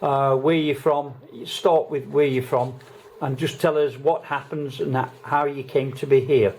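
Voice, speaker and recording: A man speaks in a grave, measured way, narrating and then gently interviewing a guest. His voice is mellow and relaxed, with a roomy echo around it. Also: medium pitch, a little hiss or hum. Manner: grave, measured delivery with rhetorical questions. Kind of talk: solemn documentary narration and interviewing.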